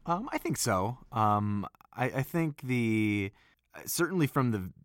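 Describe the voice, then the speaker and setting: A man chatting casually as an interview guest and voice actor, informal and halting as he thinks aloud. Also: mid-range voice, lively intonation, no room sound.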